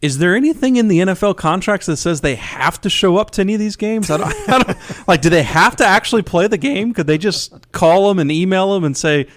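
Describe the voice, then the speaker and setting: Male radio co-host, a bit higher than average, casual and joking sports banter. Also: lively intonation, a little fast, hardly any pauses.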